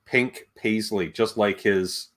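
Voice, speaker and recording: A man's voice: on the low side, fairly even intonation, slow pace, a bit bright. A man hosting a livestream in a casual, chatty way, wandering between asides and viewer comments. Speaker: livestream show host.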